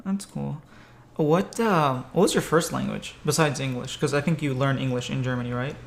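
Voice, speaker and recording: A man hosting a podcast, speaking casually and a little haltingly with an agreeable manner. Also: mid-range voice, creaky. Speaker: podcast host. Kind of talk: casual conversational interview.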